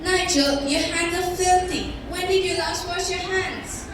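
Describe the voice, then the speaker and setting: Child acting a cruel high-voiced headmistress, distant and echoey. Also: fairly even intonation, measured pace. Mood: stern disgust. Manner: scornful, imperious, theatrical. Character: cruel, bullying headmistress who despises children. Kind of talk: school stage play acting. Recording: roomy far-off stage recording, noisy.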